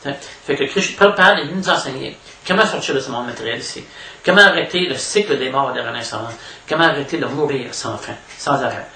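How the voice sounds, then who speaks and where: Quebec french guy giving a halting spiritual talk, bright creaky voice, mic kinda far away, bit of noise. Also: mid-range voice. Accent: Québécois French. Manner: halting, repeats words, frequent "hein" tags. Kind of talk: informal extemporaneous spiritual lecture. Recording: echoey room, distant mic, faint noise.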